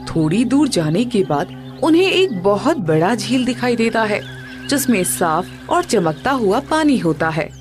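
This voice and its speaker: A woman narrates a scripted moral story. Her medium-pitched voice rises and falls in a very lively way, with soft music underneath. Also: measured pace.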